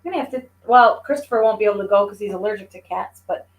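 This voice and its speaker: A woman's voice: mid-range voice, fast talker. A woman in her forties chatting casually, talking things through in a reflective, explanatory way. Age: 40s. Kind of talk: casual chat.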